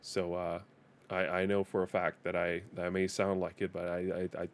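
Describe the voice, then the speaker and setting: A man with a Danish accent and a fairly low voice chats his way through a game on a live stream. He is a native Danish speaker, laughs a lot, and stumbles and repeats words as he restarts his sentences. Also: monotone, moderate pace. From Denmark. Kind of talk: casual live-stream gaming commentary.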